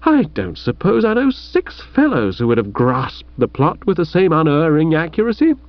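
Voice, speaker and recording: A man voicing a breezy young English gentleman who wheedles and flatters a friend. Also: a little high, a little slow, dry recording. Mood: coaxing. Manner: ingratiating, chummy, flattering. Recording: dull muffled recording.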